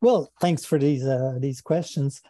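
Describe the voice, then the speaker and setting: An older man from Quebec with a Quebec French accent, a native French speaker, answering interview questions over a video call from his study, thoughtful and halting, often repeating words. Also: medium pitch, mellow, no echo. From Quebec, Canada. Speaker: guest philosopher. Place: home study with bookshelves. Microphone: earphone mic on video call.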